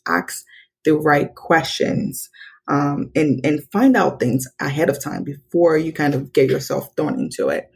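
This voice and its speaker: A young woman from Nigeria, a podcast guest telling stories in casual conversation and acting out quoted dialogue with big jumps in pitch. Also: vocal fry, slightly rough. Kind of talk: casual interview storytelling.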